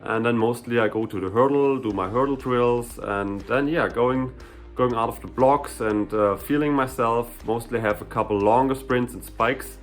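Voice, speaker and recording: Young adult male, German accent, easygoing vlogger, medium pitch, faint guitar music behind. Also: normal speed. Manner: relaxed, chatty, many fillers like "you know" and "like". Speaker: sprinter and hurdler. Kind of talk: casual Q&A vlog.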